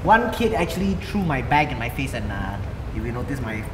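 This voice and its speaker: Lively, fast-talking young man from Indonesia. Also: mid-range voice, noisy background. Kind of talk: casual, joking group chat. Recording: very echoey hall with background voices.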